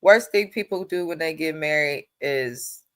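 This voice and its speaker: A young woman hosting a casual TV recap, congested and a bit nasal from allergies, blunt and animated, swearing freely. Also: low voice, measured pace. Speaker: host.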